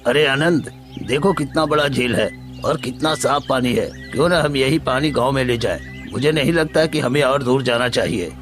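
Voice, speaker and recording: A man's voice: medium pitch, normal speed, soft background music, lightly acted. Man acting a greedy, scheming village uncle. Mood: eager. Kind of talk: acted dialogue in Hindi moral story.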